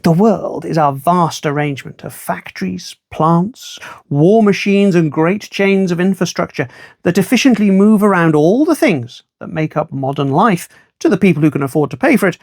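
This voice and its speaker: A storyteller reading a children's book aloud in an expressive, measured, wry way. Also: mid-range voice, gravelly, no echo, studio quality.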